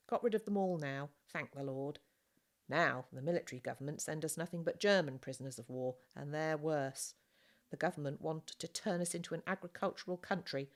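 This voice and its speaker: A woman plays a gruff but kindly farmer in a storybook reading. He is talkative and blustering, leans on his words with teasing emphasis, and projects more loudly than plain narration. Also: low-pitched, lightly acted. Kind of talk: audiobook character voice, children's novel.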